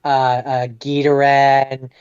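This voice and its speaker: A man's voice: mid-range voice, monotone, slow, lo-fi sound, crowd noise. A man chatting informally and with slang as a podcast co-host. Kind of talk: casual chat.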